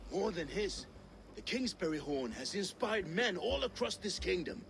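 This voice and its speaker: An actor playing an earnest, fervent Viking war captain in a video game, with portentous delivery. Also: male voice, high voice, very animated, moderate pace, creaky, a bit bright, heavily acted. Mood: earnest briefing. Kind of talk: dramatic video game acting. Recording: game audio with background noise.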